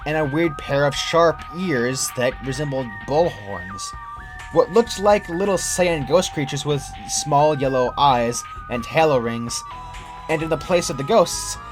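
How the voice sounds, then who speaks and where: A man reading a scripted first-person horror story as its narrator. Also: on the high side. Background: background music.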